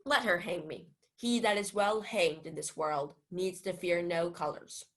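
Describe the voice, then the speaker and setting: A woman's voice: lowish voice, unhurried. A young woman playing a witty, impudent male clown in a theatrical radio Shakespeare play. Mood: wry impudence. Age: young adult. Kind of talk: 1940s radio Shakespeare acting.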